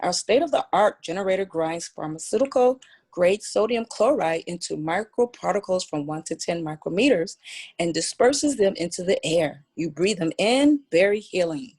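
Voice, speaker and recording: A woman's voice: fairly low pitch, animated, normal speed, darkish tone, husky. A woman playing an earnest gym trainer with a salesy tour-guide patter in a script read.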